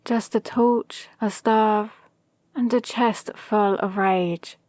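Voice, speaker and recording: Girl doing an asmr villain sorceress mentor, kinda unhinged but calm, gently bossy, really slow talking, close dry mic. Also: medium pitch, no room sound, close to an everyday voice. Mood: knowing, gently dominant. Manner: gently dominant, condescending, measured. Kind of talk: ASMR roleplay with whispered asides. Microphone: close, dry microphone.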